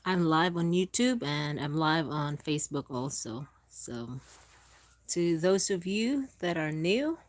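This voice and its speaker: A woman hosting a casual live gardening stream, talking in a halting, chatty way with many restarts. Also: sits low, expressive, no echo. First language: Filipino language. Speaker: gardening channel host. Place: indoors at home.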